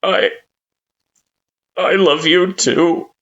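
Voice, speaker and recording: A woman voicing a small, withdrawn child in a story, croaky and mumbling. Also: lowish voice, fairly even intonation, takes it slowly, highs cut off, voice pushed up. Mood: pained.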